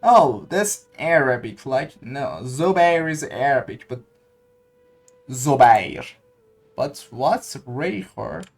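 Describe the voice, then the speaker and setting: A man's voice: mid-range voice, animated, takes it slowly, vocal fry, faint music behind. A man commenting casually on gameplay, hesitant and repeating words as he thinks aloud. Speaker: gaming streamer.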